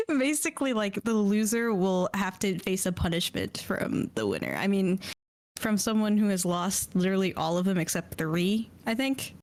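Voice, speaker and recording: An American woman joins a casual podcast as a guest, speaking at an ordinary middle pitch. She jokes her way through her answers and stays playful and giggly. She sounds like she is talking at home into her own streaming mic. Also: big pitch swings, a little slow. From United States. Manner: playful, giggly, jokey self-introduction.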